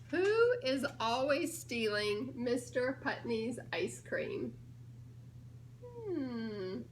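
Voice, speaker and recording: A woman's voice: sits high, a bit bright, slight background noise. A woman reading a picture book aloud to young children, playfully coaxing them to guess answers in a giggly manner. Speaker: storybook reader, children's ministry director. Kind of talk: children's story time read-aloud with questions to young listeners.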